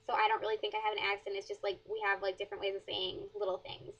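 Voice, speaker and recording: Rambling teen girl with flat delivery. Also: mid-range voice, fast talker. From southern Wisconsin, USA. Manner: rambling, many fillers and "like". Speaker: blogger answering questions. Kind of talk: casual Q&A vlog. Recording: dull lo-fi webcam audio.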